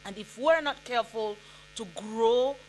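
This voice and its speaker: A woman's voice: a little high, a little slow, a little mellow, slight background noise, rough recording. A woman from Nigeria whose first language is Igbo, speaking earnestly from the floor at a conference, with frequent ums and restarts. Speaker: audience member. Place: conference hall.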